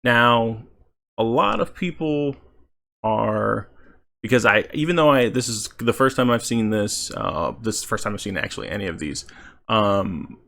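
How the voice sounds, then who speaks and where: A man giving casual video game commentary as a channel host, halting as he thinks aloud. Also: fairly low pitch, lively intonation, vocal fry, sharp tone.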